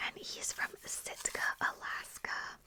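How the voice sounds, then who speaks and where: A woman speaks entirely in a soft, breathy whisper, as an ASMR host would. She chats to her viewers in an informal, rambling way, with hardly any voiced sound in her words. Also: slow. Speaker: video host talking to viewers. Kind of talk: whispered ASMR movie chat.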